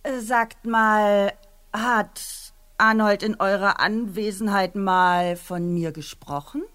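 A woman's voice: medium pitch, steady tone, takes it slowly, a bit bright. An actress playing a pushy yet flustered female neighbour in a radio play, insistent and hesitant. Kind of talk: German radio play acting.

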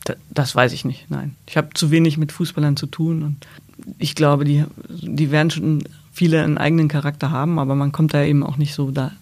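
Flat-voiced woman sports journalist. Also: sits low, fairly quick. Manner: thoughtful, hesitant restarts. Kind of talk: podcast interview answers.

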